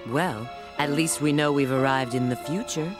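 A woman voicing a confident, sultry female bat spy in a video game, coy and unhurried. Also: very deep voice. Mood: serious, surprised. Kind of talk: video game cutscene acting. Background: background music.

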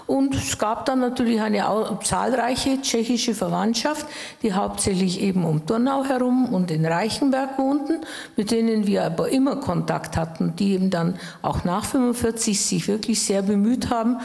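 Eine Frau um die achtzig aus Böhmen, die als Gast auf einer Bühne nachdenklich und mit Zögern aus ihrer Erinnerung erzählt, mit Handmikrofon. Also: female voice, medium pitch, moderate pace, creaky, a little mellow. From Bohemia, Czech Republic. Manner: thoughtful, with hesitations and self-corrections. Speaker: interview guest. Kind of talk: personal memories in conversation. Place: stage in a wood-panelled hall. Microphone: handheld mic. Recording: echoey hall.

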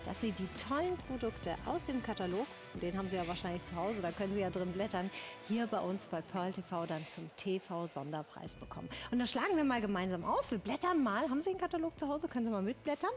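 A woman's voice: medium pitch, lively intonation, quick pace, soft background music. A woman in her thirties hosting a TV shopping show, chatting to viewers in an enthusiastic, emphatic sales style. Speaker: TV shopping host. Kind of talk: unscripted sales presentation addressed to viewers. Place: TV studio.

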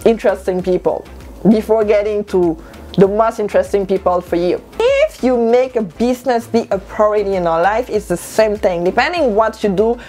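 A woman of about thirty whose first language is French, giving an animated motivational talk to camera in her bedroom and repeating lines for stress. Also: medium pitch, moderate pace. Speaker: lifestyle vlogger. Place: bedroom at home, indoors.

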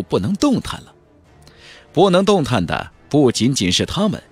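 A man's voice: mid-range voice, husky. A man narrating a fantasy novel as a dramatic audiobook, with a theatrical, emphatic delivery.

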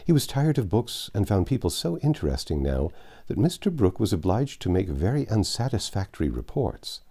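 A man in his sixties reading a classic novel aloud in a measured, expressive storybook style. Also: on the low side, slightly rough. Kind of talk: storybook read-aloud narration. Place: home library with bookshelves, indoors. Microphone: lapel mic.

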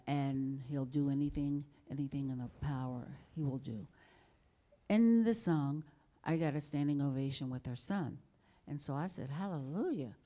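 A woman's voice: low-pitched, dark tone. A woman in her sixties telling stories on a panel with a folksy, chuckling manner, into a handheld mic in a large formal hall. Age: 60s. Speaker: panel guest singer. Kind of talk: storytelling on a panel.

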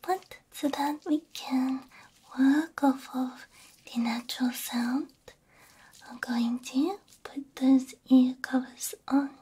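A woman whispering gently and breathily in an ASMR roleplay as a caring, soothing technician, close to a binaural microphone. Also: high voice, fairly even intonation, takes it slowly. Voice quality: breathy, mostly unvoiced whisper. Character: caring technician repairing a robot's ears.

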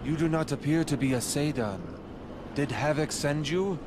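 A man's voice: a little high, moderate pace, close to an everyday voice. An actor playing a hooded male saboteur and follower of chaos in a video game, speaking in formal phrases. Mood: guarded. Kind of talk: scripted video game dialogue. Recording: noisy game ambience behind voice.

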